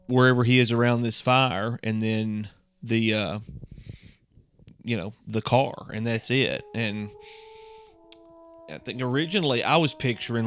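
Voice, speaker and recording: A man's voice: mid-range voice, fairly bright tone. A man co-hosting a podcast, talking in a casual, rambling, conversational way. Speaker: podcast co-host. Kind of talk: casual chat.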